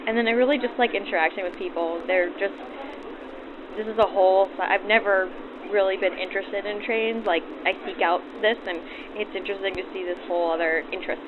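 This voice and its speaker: A woman's voice: mid-range voice, noisy background. A young woman from New Orleans chatting casually and informally in an interview, through a vintage 1940s radio filter. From New Orleans, United States. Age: young adult. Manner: chatty, informal, self-deprecating. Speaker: passenger and reenactor being interviewed. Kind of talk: casual, spontaneous interview chat. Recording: tinny old radio sound, crowd behind.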